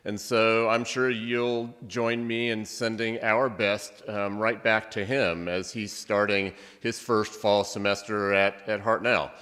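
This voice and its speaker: A man speaking as interim college president at a convocation, halting with many ums and restarts. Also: lowish voice, level tone, a bit bright. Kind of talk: convocation speech. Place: large hall. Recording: long hall reverb.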